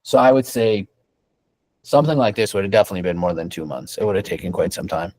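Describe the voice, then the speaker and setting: A man's voice: low-pitched, animated, fast talker, a little mellow, rough recording. A young man from Canada, a rug dealer, answering interview questions casually at his shop desk, often repeating and restarting words.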